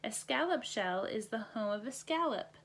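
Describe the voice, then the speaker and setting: A woman's voice: a little high, animated, moderate pace, a bit bright. A woman voicing a patient, easygoing father bear in a storybook, explaining things without hurry.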